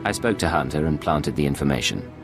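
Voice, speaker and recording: An actor playing a dry, sardonic Imperial secret agent in a sci-fi game, with a low, gravelly voice and cool, controlled delivery. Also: male voice, expressive, brisk, music in the background. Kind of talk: dramatic sci-fi game dialogue.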